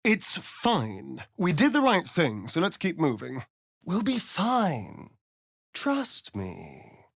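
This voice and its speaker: A British actor playing a sly, vain vampire spawn rogue in a video game, with an arch, theatrical, drawling delivery. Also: male voice, a little high, huge pitch swings, takes it slowly, creaky, fairly bright tone, husky, no echo, studio quality, exaggerated voice. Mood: urgent, guarded. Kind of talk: dramatic video game voice acting.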